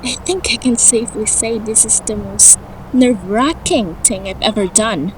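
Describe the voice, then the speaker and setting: Young mage daughter, played by a woman: high voice, anxious, vulnerable, emotional audio drama delivery, slight background noise. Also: natural voice, low-quality recording. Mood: nervous, worried. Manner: emotional, halting, pleading.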